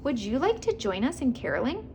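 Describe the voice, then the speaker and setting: A woman's voice: high-pitched, sing-song, fairly quick, a little mellow, a little hiss or hum, pitched above the performer's own voice. A woman voicing an eager, joyful young girl in a storybook. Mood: hopeful.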